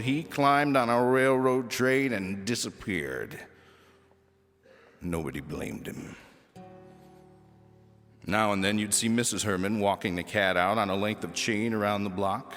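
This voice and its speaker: A man's voice: medium pitch, fairly bright tone, long reverb tail. A man reading a children's book aloud as a storyteller, with theatrical, emphatic delivery. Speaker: audiobook reader.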